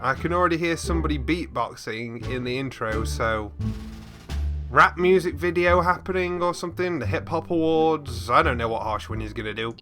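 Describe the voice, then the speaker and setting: A man's voice: on the high side, moderate pace, sharp tone, faint music behind. A man giving casual reaction commentary as a host, often breaking into laughter as he talks.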